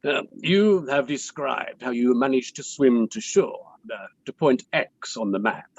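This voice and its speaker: Male actor, inquiry lawyer, polite, halting, formal drama read-through, close dry sound, slightly lo-fi. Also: mid-range voice, animated, no room sound. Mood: courteous, methodical. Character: courteous, methodical male inquiry counsel. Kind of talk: formal questioning in a play table read.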